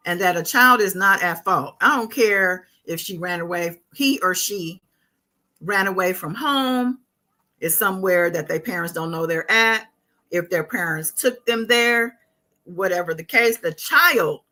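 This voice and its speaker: A woman hosting a casual live stream, talking through viewer comments in a conversational, halting way as she thinks aloud. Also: medium pitch, bright, forceful tone.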